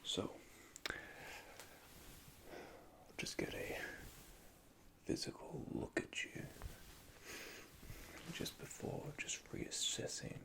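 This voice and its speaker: A man whispering softly and methodically as he plays a repair technician in an ASMR roleplay, recorded close to the microphone. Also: very slow, pauses often. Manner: soft, calm, methodical, speaks in technical jargon. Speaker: ASMR creator playing an android repair technician.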